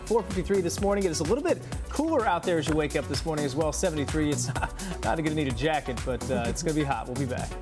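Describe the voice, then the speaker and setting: A man presenting morning TV news as an anchor, in a chatty style. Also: a little high.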